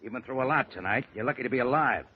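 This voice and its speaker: A man's voice: mid-range voice, rapid-fire, slight background noise, natural voice. A man playing a bossy, sarcastic but caring newspaper boss in a 1940s radio drama. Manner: sarcastic, overbearing. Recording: muffled 1940s radio recording.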